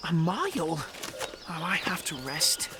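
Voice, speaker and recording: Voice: medium pitch, big pitch swings, unhurried, background noise. A performer playing a proud, indignant young boy prince with earnest, haughty, formal speech in an audio drama. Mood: weary, proud. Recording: loud music under dialogue.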